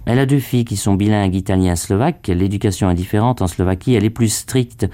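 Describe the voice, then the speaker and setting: A man with a very low voice narrates a news report from a script. His tone is dark and mellow, and his reading stays measured and even.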